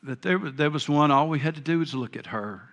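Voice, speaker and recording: A man's voice: fairly high pitch, fast, fairly bright tone. An older man preaching a sermon, earnest and folksy, with a big voice. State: a bit hard of hearing. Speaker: pastor.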